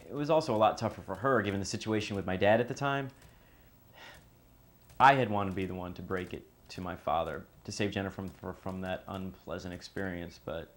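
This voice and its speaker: A man playing an earnest, protective son who explains things in a measured, candid way. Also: deep voice, fairly even intonation, natural voice. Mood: regretful, earnest.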